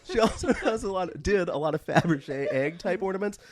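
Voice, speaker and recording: A man's voice: high-pitched, lively intonation, brisk, a little hiss or hum. A man co-hosting a comedic podcast in casual chat, telling stories in a campy, wry way. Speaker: podcast co-host.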